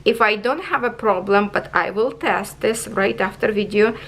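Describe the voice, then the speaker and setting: A woman's voice: medium pitch, normal speed, slight background noise. A woman giving a casual product review on her craft channel, chatty and checking in with viewers, in non-native English phrasing.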